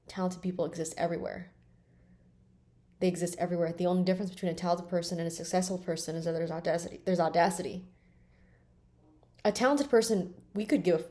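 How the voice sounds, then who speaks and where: A woman's voice: on the low side, mellow. A woman in her late twenties talking bluntly and emphatically in a candid personal vlog, swearing freely. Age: 20s. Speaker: vlogger.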